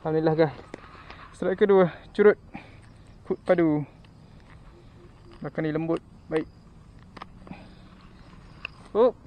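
A man's voice: on the high side, slow pace, pauses often. A young man with a Malaysian Malay accent, talking casually to camera while fishing by a plantation canal, muttering to himself and perking up when a fish bites. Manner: muttering to himself while casting, bursts of excitement on strikes. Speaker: fishing vlogger. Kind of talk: casual fishing vlog commentary. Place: outdoors by a canal in an oil palm plantation. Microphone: action camera worn on the body, then held at arm's length.

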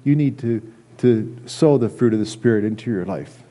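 A middle-aged American man giving a sermon as a pastor, speaking earnestly and haltingly, often repeating phrases. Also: on the low side, lively intonation, warm tone. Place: church sanctuary, indoors at a lectern.

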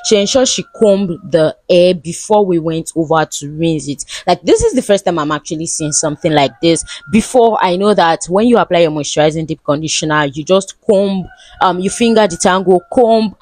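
Chatty woman, low dry voice. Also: moderate pace, a little mellow, no room sound. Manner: chatty, repeats words for emphasis. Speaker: beauty vlogger. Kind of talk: voice-over narration.

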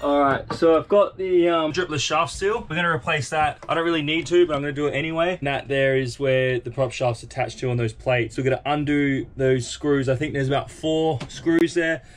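A man's voice: a little high, fairly quick, hardly any pauses. A young man talking casually to a handheld camera on his sailboat and in a boatyard, explaining repairs as he goes. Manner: laid-back, chatty, explains repairs as he goes. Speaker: sailing vlogger. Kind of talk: casual vlog chat. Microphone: handheld action camera.

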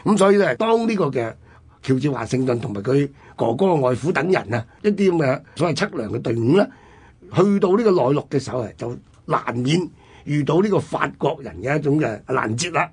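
A man's voice: mid-range voice, expressive, brisk, darkish tone, breathy edge. A man with a Hong Kong Cantonese accent, telling history conversationally as a radio co-host.

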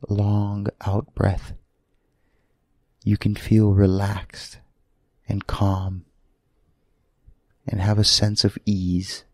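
A man leads a sleep meditation in a gravelly, soothing voice. He speaks very slowly, in short, gentle phrases separated by long pauses. The sound is close and dry. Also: on the low side, dry recording. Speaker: guided meditation leader.

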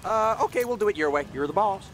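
Nervy game grunt: quick, glib, self-deprecating, meek, highish voice, music behind. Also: male voice, animated. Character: glib, nervous, self-deprecating squad soldier. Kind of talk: video game dialogue acting.

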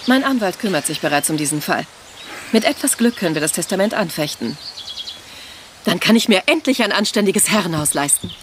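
Female, actress playing haughty grasping heiress, quick clipped delivery, faint outdoor noise, radio crime drama. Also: on the low side, lively intonation, close to an everyday voice. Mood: self-assured.

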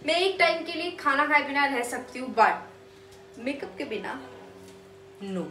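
A woman's voice: high-pitched, lively intonation, measured pace, sharp tone. A young woman in her twenties chatting playfully to camera in a small home kitchen, self-mocking and chatty. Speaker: vlogger. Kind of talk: casual vlog chat to camera. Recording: echoey kitchen with music underneath.

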